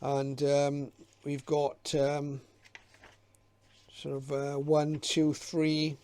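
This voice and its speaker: A man's voice: fairly high pitch, monotone, drawn-out words, mellow, clear, resonant tone. A middle-aged man giving informal, unscripted commentary as a hobbyist presenter, hesitant and reminiscing as he goes.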